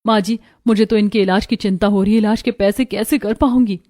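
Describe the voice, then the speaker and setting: Woman acting a worried village wife, mellow voice. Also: medium pitch, fairly even intonation, brisk, close to an everyday voice. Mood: anxious. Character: worried, dutiful poor village wife and young mother.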